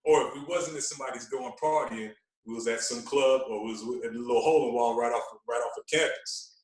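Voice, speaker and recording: A man tells stories casually as an interview guest on a podcast. His voice sits at a middling pitch, with an animated, repetitive way of talking. It is picked up a little away from the mic in an echoey room. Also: fast, far from the mic. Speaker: podcast guest, radio personality.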